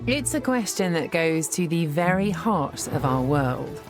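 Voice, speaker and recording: A woman in her thirties presenting a documentary in clear, measured scripted narration. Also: on the low side, very animated, music in the background. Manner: clear, measured, thoughtful delivery.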